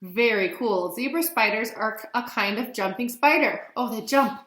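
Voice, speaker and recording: A woman in her thirties reading a picture book aloud as a teacher, animated and explaining things simply to young children. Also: fairly high pitch, normal speed. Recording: roomy echo.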